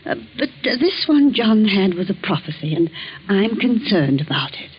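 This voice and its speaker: A woman's voice: mid-range voice, sing-song, moderate pace, breathy edge. A woman playing a fretful, earnest middle-aged wife and mother in 1940s radio drama style. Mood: worried. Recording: dull 1940s radio recording.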